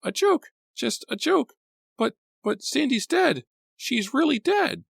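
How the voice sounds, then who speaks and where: A man reading a storybook, voicing a panicked teenage boy with a shrill, trembling voice. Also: huge pitch swings, normal speed, creaky, darkish tone, no room sound, clean studio sound, full character voice. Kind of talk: audiobook character voice.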